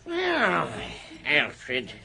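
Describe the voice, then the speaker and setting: An actor voicing a blunt, grumbling, miserly old uncle in a radio drama. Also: male voice, on the high side, wildly swooping pitch, drawn-out words, creaky, pushed and bright, exaggerated voice. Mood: indignant. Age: older. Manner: grumbling, mutters and exclaims, wildly swooping. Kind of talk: British radio drama acting.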